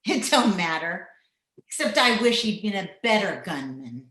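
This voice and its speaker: A spiteful old frontier widow, acted by a woman, heard in a roomy space. She drawls slowly in rustic phrases, with taunts that swing widely in pitch. The character is cold, bitter and mocking, straight out of a radio western. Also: big pitch swings, fairly bright tone. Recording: roomy sound, recorded over internet call.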